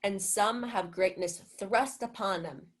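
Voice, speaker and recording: A woman's voice: mid-range voice, slow. A woman playing a smug, besotted male steward in a Shakespeare radio play, speaking in a pompous, declamatory manner. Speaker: student actor.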